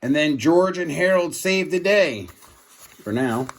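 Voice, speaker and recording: Male read-aloud narrator for kids, expressive and dramatic, very animated, slightly high voice. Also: a little slow. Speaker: storybook reader for children.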